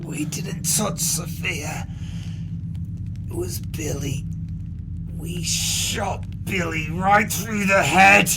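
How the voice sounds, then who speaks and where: A man acting in an audio play as a crooked swindler, creaky-voiced, florid and melodramatic. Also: sits high, extremely slow, long pauses, heavy background noise, exaggerated voice. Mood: anguished. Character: crooked male swindler, mournfully grandiose and evasive.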